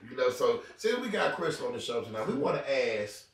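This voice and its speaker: A man hosting a comedy podcast, rambling and repeating himself, breaking into loud laughs, sounding a little hoarse. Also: mid-range voice, big pitch swings, brisk. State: says he is hoarse. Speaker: podcast host. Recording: echoey room, distant mic, faint hiss.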